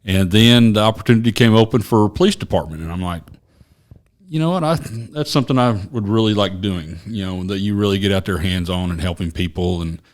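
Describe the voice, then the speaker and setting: A man in his forties from Oklahoma, a retired police officer, telling his story as a podcast guest in a small office studio, rambling and halting with many fillers. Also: lowish voice. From Oklahoma, USA. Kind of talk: casual interview storytelling.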